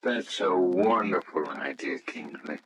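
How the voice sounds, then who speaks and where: An actor playing a kindly gentleman who soothes and encourages, in old-time radio style on a noisy recording. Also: deep voice, animated, a little slow, lo-fi sound. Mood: kindly encouraging. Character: kindly gentleman helper reassuring a worried boy.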